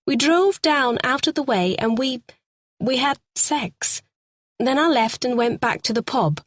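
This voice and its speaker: A woman voicing a distraught, battered woman who pleads through tears in a high voice. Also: animated, measured pace. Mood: pleading.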